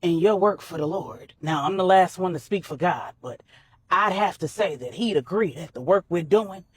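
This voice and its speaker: Woman reading as commanding, low-voiced island crime boss. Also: lively intonation, moderate pace, slightly rough. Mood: assured, persuasive.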